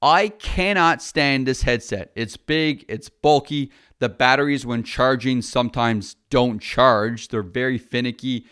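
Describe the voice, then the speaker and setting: A man's voice: medium pitch, unhurried, hardly any pauses, a bit bright, clean tone. A man, a filmmaker, chatting casually and bluntly through a product review.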